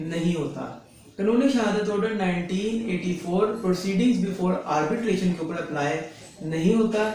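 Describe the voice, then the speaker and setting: The recording is heavily echoing and picked up from a distance, with faint noise. A Pakistani man with a high-pitched voice delivers an instructional lecture. He speaks in a didactic way, restating key phrases as a teacher would. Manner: didactic, repeats key phrases in Urdu and English. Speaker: lecturer and advocate explaining law. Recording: very echoey room, distant mic, faint noise.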